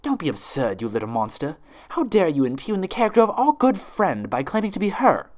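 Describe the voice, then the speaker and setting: A man's voice: on the high side, wildly swooping pitch, a little fast, voice pushed up. A man voicing a haughty, refined female unicorn with a pompous, dismissive manner. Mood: indignant.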